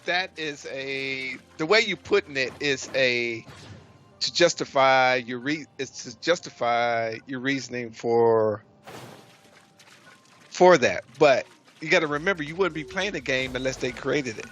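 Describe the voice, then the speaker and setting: Co-host, man, bright and tense tone, halting hesitant delivery, faint music underneath. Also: mid-range voice. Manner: hesitant, halting, restarts while pushing back. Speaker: podcast co-host. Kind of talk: casual podcast chat.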